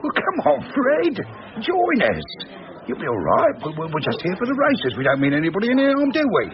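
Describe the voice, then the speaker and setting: An actor playing a cocky, menacing villain in a radio drama, with a working-class English accent and a needling, falsely friendly manner. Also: male voice, very high voice, huge pitch swings, brisk, heavily acted. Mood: pushy joviality. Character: cocky menacing male villain, mockingly matey, streetwise. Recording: muffled radio drama, pub crowd behind.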